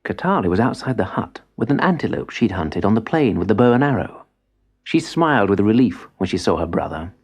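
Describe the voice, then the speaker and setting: A man's voice: fairly low pitch, big pitch swings, brisk, husky. A man narrating a children's story expressively, on an old cassette transfer. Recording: dull cassette transfer with music behind. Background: instrumental music.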